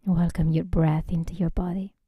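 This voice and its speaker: A woman yoga teacher leading a guided relaxation meditation in a gentle, unhurried way, often softening into a breathy near-whisper. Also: lowish voice, flat delivery, dry recording, dull audio.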